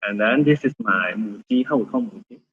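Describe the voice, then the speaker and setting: A man in his thirties with a Malaysian accent, sharing his story as a volunteer in a halting way with many restarts. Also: on the low side, slightly rough, narrow, tinny audio. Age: 30s. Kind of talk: casual testimony sharing.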